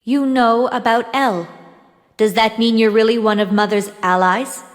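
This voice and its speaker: A woman's voice: fairly high pitch, a little slow, lightly acted. A woman voicing an emotionless artificial young girl in a video game, speaking formally and literally with earnest questions. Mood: guarded, calm. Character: emotionless young girl, artificial being who calls herself a tool. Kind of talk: English video game voice acting. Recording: clear music behind the voice. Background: music.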